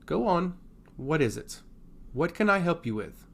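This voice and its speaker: A man's voice: fairly high pitch, big pitch swings, unhurried, vocal fry, voice pushed up, full character voice. A man voicing a proud, burdened island king in a fantasy story, blunt and commanding. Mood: guarded.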